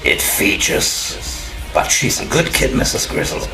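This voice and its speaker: A man playing a ghoulish, mocking crypt-keeper who narrates a horror audio drama in a deep, gravelly, slow voice. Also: very animated, exaggerated voice. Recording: roomy, distant voice under music.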